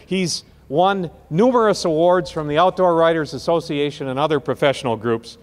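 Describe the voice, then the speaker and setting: A man giving an impassioned speech at a rally, slowing down to stress key words. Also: a little high, big-room sound. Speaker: rally speaker introducing the next speaker.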